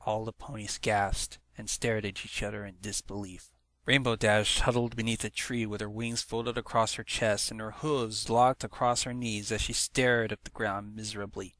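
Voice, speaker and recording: Guy reading a dramatic story out loud, lowish but sharp voice, dry sound. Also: little pitch movement, normal speed, dry recording. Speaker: fan fiction narrator. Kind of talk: dramatic scripted story narration.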